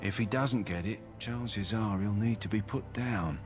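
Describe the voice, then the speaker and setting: A man's voice: on the low side, measured pace, close to an everyday voice. A man voicing a practical kennel master. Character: gruff middle-aged male kennel master, matter-of-fact. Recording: game audio with music behind.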